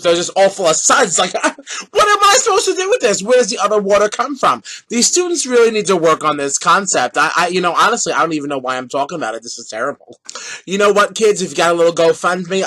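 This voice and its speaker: A man's voice: sits high, a bit bright. Sassy giggly man with a cold. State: has a cold. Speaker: vlog host. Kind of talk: casual chatty vlog.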